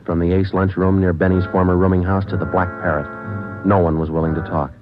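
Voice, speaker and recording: A male actor plays a terse American detective from a 1940s radio crime show. The voice is low and the delivery is clipped, deadpan and businesslike. It sits on a muffled vintage recording with prominent music. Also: fairly quick, close to an everyday voice. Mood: deadpan narrating. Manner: clipped, matter-of-fact, deadpan.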